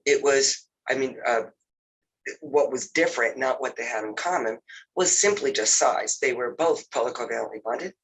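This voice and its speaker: A man's voice: medium pitch, breathy edge, low-quality recording. Nasal congested man teaching a lab. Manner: relaxed, filler-heavy, says "you know" often. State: has a cold. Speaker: lab instructor. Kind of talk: casual recorded lab walkthrough over a video call.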